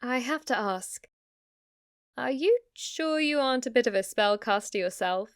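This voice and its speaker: A woman's voice: on the high side, lively intonation, unhurried, no echo, studio quality. A woman voicing an obsessive, lovestruck witch in an intimate ASMR roleplay, with a hesitant, gushing way of talking. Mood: nervous, smitten. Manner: hesitant, rambling, gushing, giggly delivery. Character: lovestruck yandere witch, obsessive, shy yet giddy, teasing.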